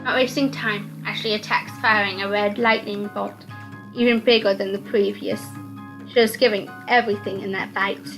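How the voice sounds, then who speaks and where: A woman's voice: medium pitch, fairly even intonation, normal speed, poor audio. A woman reading a visual novel aloud as narrator, in dramatic scripted narration. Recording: music under the voice.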